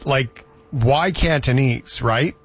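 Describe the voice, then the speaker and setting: A man's voice: mid-range voice, slow pace, slightly rough. A man hosting a radio show, chatting casually and telling stories. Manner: chatty, storytelling. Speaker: radio host. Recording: dull lo-fi radio audio.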